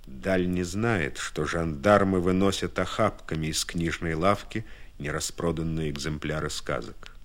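Deep, slow male narrator. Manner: measured, literary, with dramatic pauses. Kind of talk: scripted radio drama narration.

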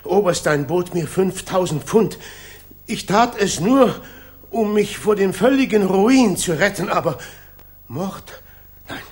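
High, halting, cornered colonel. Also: male voice, expressive, darkish tone. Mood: desperate pleading. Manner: halting, stammering, agitated. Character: cornered, fearful English colonel turned traitor. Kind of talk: 1960s German radio crime drama acting.

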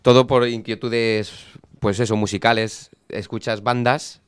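A man's voice: on the low side, little pitch movement, unhurried, clear, resonant tone. A young man from Madrid, an easygoing band member telling stories and joking in a radio interview. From Madrid, Spain. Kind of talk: casual radio interview chat.

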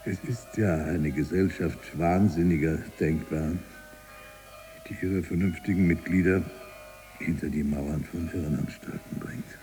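An actor playing an embittered imprisoned libertine writer in a radio drama monologue, gravelly and breathy at times, brooding and deliberate in delivery. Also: male voice, low voice, steady tone, dark tone, soft background music. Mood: brooding, defiant.

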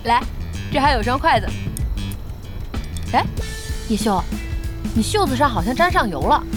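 Over clear background music, a young shop owner in an audio drama with a high, animated voice. She is friendly and conciliatory, the easily amused peacemaker of the table. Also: moderate pace. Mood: placating. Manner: lively, conciliatory. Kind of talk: Chinese audio drama acting.

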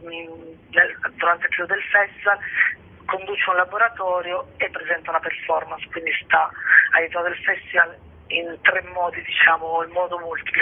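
A woman guest presenting a festival programme in an informal interview, with a husky voice and a hesitant manner, searching for words. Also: on the low side. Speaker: festival co-director, radio guest. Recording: dull, band-limited phone-like radio sound.

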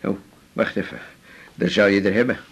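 An actor from the Netherlands playing a chatty, eager, smitten older patient in a Dutch radio drama, with a husky voice. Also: male voice, on the low side, fairly even intonation, moderate pace, a bit bright. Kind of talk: naturalistic radio drama dialogue.

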